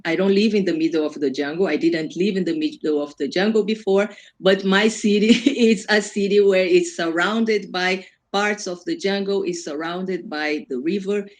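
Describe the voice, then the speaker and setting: A woman from Brazil with a Brazilian accent, a native Portuguese speaker, presenting an online workshop in a conversational way and rephrasing as she explains. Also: fairly low pitch. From Brazil, Amazon region. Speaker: workshop presenter, self-development coach.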